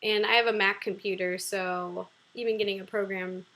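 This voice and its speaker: A woman's voice: mid-range voice, fairly even intonation, normal speed, bright, forceful tone, rough recording. A young woman hosting a casual livestream from home. She is bubbly, laughs often and hums to herself while reading viewer questions. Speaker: livestream host. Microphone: computer webcam mic.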